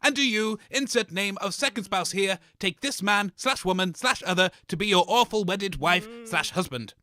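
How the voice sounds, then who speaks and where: An actor playing a pompous, scheming and dismissive radio host in a scripted comedy, with theatrical delivery. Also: male voice, sits high, moderate pace, bright, forceful tone, dry recording, studio quality. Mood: brisk officiating.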